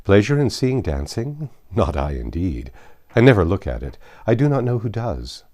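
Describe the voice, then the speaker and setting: A man voicing a grumbling, indifferent Regency gentleman in a novel reading, dry and dismissive. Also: on the low side, very animated, normal speed. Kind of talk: acted dialogue within a novel reading.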